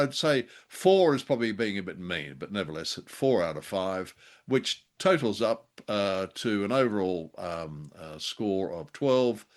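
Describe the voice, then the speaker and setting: A man co-hosting a conversational review show with a droll, halting delivery. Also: on the low side. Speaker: podcast co-host. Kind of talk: conversational radio drama review.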